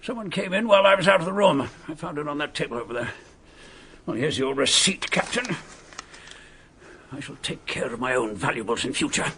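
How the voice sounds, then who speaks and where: A man's voice: on the high side, lively intonation, a little fast, pushed and bright, a little hiss or hum. An actor playing a pompous, indignant male passenger in a radio drama, speaking in a haughty, theatrical way.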